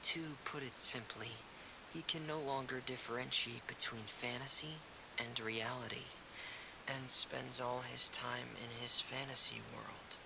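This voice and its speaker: A calm, knowledgeable man explains in a mid-pitched voice with a gravelly creak. He is measured and thoughtful in anime game style, over audible hiss. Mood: calm, explanatory. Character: composed, knowledgeable young man explaining things calmly. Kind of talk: anime game cutscene acting. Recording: clear background hiss.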